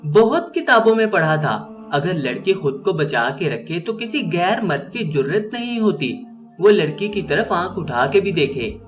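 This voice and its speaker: A frightened young woman student, as a male storyteller puts her on, sobs through her words. The voice climbs high and swings widely as her pleading grows. Soft music plays behind her. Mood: anguished. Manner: sobbing, pleading questions.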